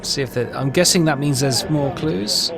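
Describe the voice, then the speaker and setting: A man's voice: a little high, moderate pace, gravelly. A man hosting a casual game walkthrough, hesitant and rambling as he thinks aloud. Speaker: gaming video host.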